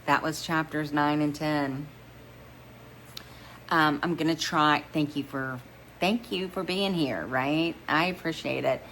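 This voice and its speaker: Low-pitched woman reading a story aloud. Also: steady tone, slow, fairly bright tone, a little hiss or hum, low-quality recording. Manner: stumbles and rereads phrases. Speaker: amateur audiobook reader. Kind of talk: first-person story narration, read aloud.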